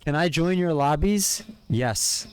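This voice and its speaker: A man's voice: a little high, slow pace, clean tone. A young man from Pennsylvania with a Philadelphia accent, chatting casually and rambling to his stream viewers. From Pennsylvania, USA. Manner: rambling, chatty, friendly, lots of fillers.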